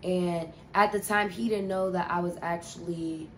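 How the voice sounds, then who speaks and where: A woman's voice: on the low side, steady tone, moderate pace, a bit bright, slight background noise. Young woman rambling with lots of fillers. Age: young adult. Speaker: vlogger. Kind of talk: casual storytime vlog.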